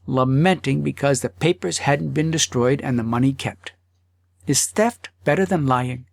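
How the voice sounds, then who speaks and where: A man's voice: medium pitch, vocal fry, no echo, studio quality. A man reading a humorous story aloud as an audiobook narrator, theatrical in the way he dramatizes it. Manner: theatrical, dramatizing thoughts and dialogue.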